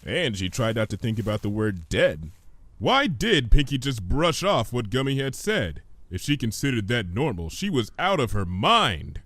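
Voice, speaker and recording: A man narrating a scripted story in an expressive, theatrical manner. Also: medium pitch, normal speed, no room sound.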